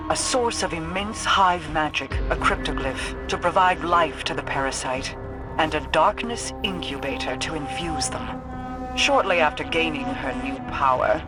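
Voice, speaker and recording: A woman's voice: low-pitched, poor audio. An actress playing an imperious, enigmatic queen in a game, cool and knowing, with formal, regal, commanding delivery. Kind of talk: scripted game dialogue.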